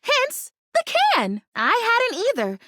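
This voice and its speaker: Game role: an earnest, optimistic young female houseplant, extremely high voice, lively intonation, gushing and chatty. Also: slow, no echo, studio quality, full character voice. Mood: explaining eagerly. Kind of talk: animated video game character acting.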